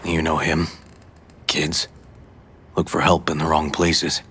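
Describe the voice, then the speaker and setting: An actor playing a gruff, determined detective and protective uncle in a video game, sounding low and weary. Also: male voice, little pitch movement, slow, a bit bright, exaggerated voice. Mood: earnest, subdued.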